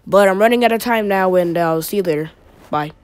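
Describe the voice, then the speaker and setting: A man's voice: high-pitched, brisk, smooth. A young male gaming YouTuber giving a rambling, joking intro to his video, talking casually with lots of filler words. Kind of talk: casual self-filmed intro.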